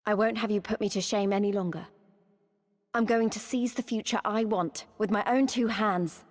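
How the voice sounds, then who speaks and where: An actress playing a young female resistance fighter in a video game, passionate yet self-doubting, speaking in a heartfelt, halting, plain way. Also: mid-range voice, steady tone, big-room sound, natural voice. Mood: resolute. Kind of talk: cinematic video game voice acting.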